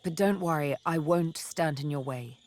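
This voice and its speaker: An actress voicing a vengeful, seductive elf assassin in a video game, deliberate and teasing, often murmuring. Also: female voice, low-pitched, darkish tone, lightly acted. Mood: flirtatious, intimate.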